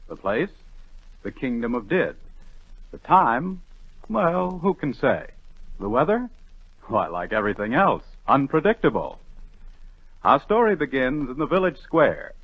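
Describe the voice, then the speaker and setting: Casting: male 1940s radio narrator, husky, animated and declamatory, muffled dry recording. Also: medium pitch, unhurried, pauses often, no room sound. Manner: declamatory, dramatic. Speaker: announcer and narrator.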